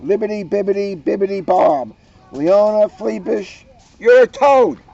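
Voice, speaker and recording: A man's voice: high voice, a little slow, creaky, warm tone, muffled recording, voice pushed up, exaggerated voice. A man voicing a spirited young girl in a fairy costume, declaiming spells boldly in a storybook. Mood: defiant. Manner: bold, declaiming.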